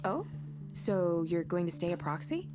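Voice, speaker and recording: An actress playing a candid, kindly co-worker in a visual novel, with a frank, conversational delivery. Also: female voice, lowish voice, big pitch swings, measured pace, music in the background. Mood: friendly curiosity. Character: candid, weary but kindly woman, friendly co-worker.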